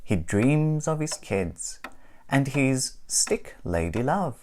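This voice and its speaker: A woman narrating a rhyming children's storybook expressively, with deliberate, dramatic phrasing. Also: extremely low pitch, very animated, a little mellow, slight background noise. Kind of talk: expressive rhyming read-aloud for young children.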